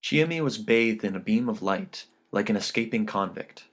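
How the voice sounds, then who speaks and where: A man's voice: fairly low pitch, lively intonation, moderate pace. A man reading a short story as an audiobook narrator, in scripted literary narration.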